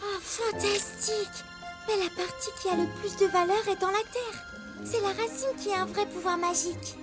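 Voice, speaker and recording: Very high, earnest little girl cub. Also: steady tone, normal speed, over loud music. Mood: hopeful, earnest. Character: young female animal cub, hopeful, polite and brave. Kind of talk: children's cartoon dubbing.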